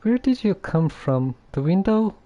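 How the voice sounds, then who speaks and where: A man's voice: high-pitched, sing-song, unhurried, warm tone, highs cut off. A man doing casual live game commentary, thinking aloud in a hesitant, musing way. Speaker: game streamer.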